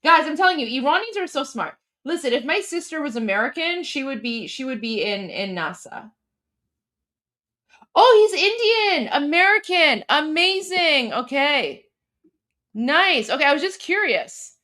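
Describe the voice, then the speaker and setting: A woman's voice: high voice, a little slow. A woman with a Canadian accent hosting a livestream in casual, rambling chat full of fillers. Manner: rambling, filler-heavy, animated. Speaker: livestream host.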